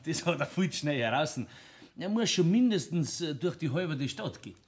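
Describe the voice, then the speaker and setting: A man's voice: a little high, animated, normal speed. A man playing a mischievous, conspiratorial pub-table tradesman in a radio play, with a broad Bavarian accent. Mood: scheming. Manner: chuckling, conspiratorial. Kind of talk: dialect radio play acting.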